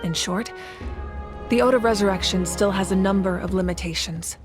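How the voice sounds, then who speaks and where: A woman's voice: mid-range voice, moderate pace, lightly acted. A woman portrays a composed war leader in a video game story. She speaks in a mid-range voice, earnest and determined, explaining matters with assurance. Music plays behind her. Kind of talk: dramatic video game acting.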